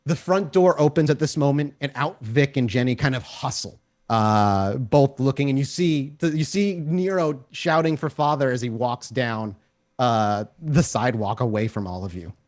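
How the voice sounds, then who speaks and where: A man's voice: medium pitch, moderate pace, a little mellow. A man running a tabletop role-playing game as game master, narrating scenes in descriptive, cinematic detail.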